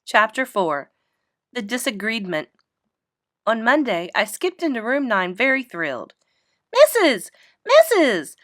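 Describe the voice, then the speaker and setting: Casting: female read-aloud storyteller for children's books, expressive first-person child narration, very lively intonation, dry close sound. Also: fairly high pitch, unhurried, no echo.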